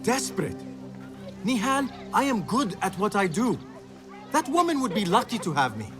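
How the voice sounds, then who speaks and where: An actor playing an ambitious, cocky young street thief in a video game, insistent and proud. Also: male voice, sits high, very animated, normal speed, music in the background. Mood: proud, determined. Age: young adult. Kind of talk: cinematic video game acting.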